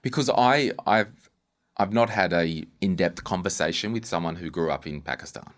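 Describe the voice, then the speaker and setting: A man's voice: low-pitched, moderate pace. A man hosting a podcast interview in a casual, informal way, searching for his words as he goes.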